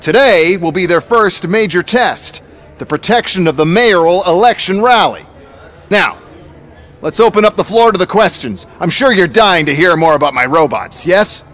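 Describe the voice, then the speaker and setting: An arrogant corporate tech boss in a video game. He has a high voice and a slick, self-important presenter's delivery. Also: lively intonation, normal speed, a bit bright. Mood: proud, showy. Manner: pompous salesman's presentation. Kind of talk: video game cutscene acting.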